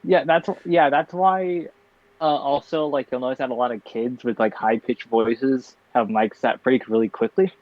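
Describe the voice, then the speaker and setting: A young man talks in a tired, flat monotone through casual chat, breaking into laughs now and then. His voice comes through a dull, lo-fi home mic with a dry, close sound and no room echo. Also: mid-range voice, normal speed. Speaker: podcast co-host.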